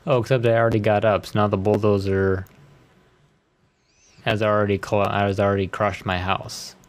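A man chatting casually and reading game text aloud haltingly while he types. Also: fairly low pitch, level tone. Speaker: podcast host playing a game. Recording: clear noise, keyboard clatter. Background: computer keyboard typing.

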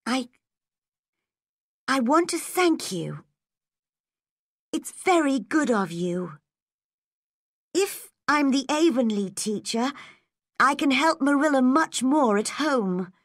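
A woman's voice: sits high, very animated, takes it slowly, long pauses, no echo, clean studio sound, voice pushed up, full character voice. A woman voicing an eager, earnest teenage girl full of hope. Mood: warm.

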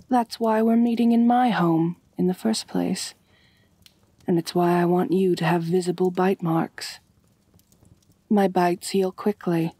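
A low, husky vampire noblewoman, played by a woman, purring slow endearments in an intimate ASMR roleplay. She is elegant and doting, with a gently commanding, calculating streak. The sound is close and dry. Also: no echo. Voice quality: soft, breathy, husky. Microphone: close, dry microphone.

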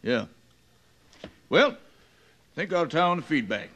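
A man's voice: fairly high pitch, big pitch swings, slow pace, bright, forceful tone, full character voice. A man playing a mild frontier office clerk in an old-time radio western, folksy and tentative. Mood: doubtful.

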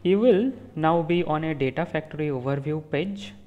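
A speaker giving a step-by-step software walkthrough as a voice-over, calmly talking through each click and sometimes correcting a word mid-sentence. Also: mid-range voice, moderate pace, phone-quality sound. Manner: steady step-by-step instructions with small self-corrections. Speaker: tutorial instructor. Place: voice-over recorded apart from the screen capture.

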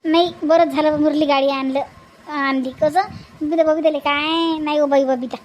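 A woman voicing a brisk, caring village woman in a cartoon, chatty and repetitive. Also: high-pitched, steady tone, lightly acted.

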